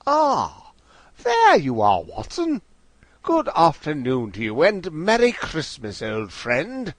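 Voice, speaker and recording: A theatrical Victorian detective for an audio drama. He speaks slowly and very formally, with hugely swooping pitch. He comes across as cheerfully condescending. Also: huge pitch swings, exaggerated voice. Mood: jovial, teasing. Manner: drawling, grandly formal, swooping delivery.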